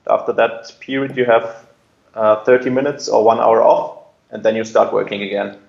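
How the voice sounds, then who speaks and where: A man's voice: lowish voice, steady tone, moderate pace, gravelly, reverberant, lo-fi sound. A man answering interview questions and explaining his work in a conversational way. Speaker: air traffic controller, interview guest.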